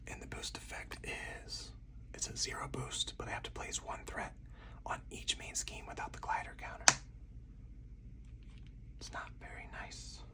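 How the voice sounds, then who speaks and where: Whispering man, slightly distant from the mic. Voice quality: breathy, unvoiced whisper. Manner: slow, soothing, deliberate step-by-step narration. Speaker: solo board game player and channel host. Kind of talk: whispered ASMR game play-through.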